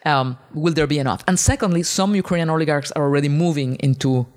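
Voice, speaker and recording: A man's voice: fairly high pitch, quick pace. A man answering as a guest author, explaining at length and agreeing readily. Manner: explanatory, agreeable, some fillers. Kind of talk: casual academic interview.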